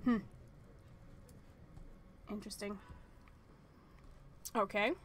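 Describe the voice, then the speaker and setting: A woman's voice: medium pitch, fairly even intonation, extremely slow, slight background noise. A woman in her thirties streaming a visual novel, chatting and thinking aloud with hesitant restarts. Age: 30s.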